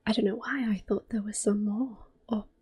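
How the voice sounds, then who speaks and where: A woman giving soft, breathy, mostly whispered ASMR commentary on a video game, gentle and close to the microphone. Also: medium pitch, fairly even intonation, moderate pace. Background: soft game music.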